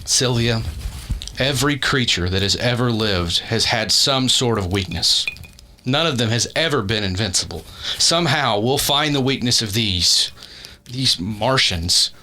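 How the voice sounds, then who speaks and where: A man playing a steady, reassuring scientist in a radio drama, measured and explanatory in his delivery. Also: medium pitch, slight background noise.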